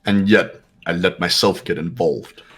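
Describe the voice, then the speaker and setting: A man's voice: deep voice, fairly even intonation, a little slow, a bit bright, slightly rough, pitched below the performer's own voice, heavily acted. A man voicing a cold, haughty, impatient young intellectual with clipped, imperious delivery in a fan game dub. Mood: irritated, disdainful. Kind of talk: fan playthrough character voice acting.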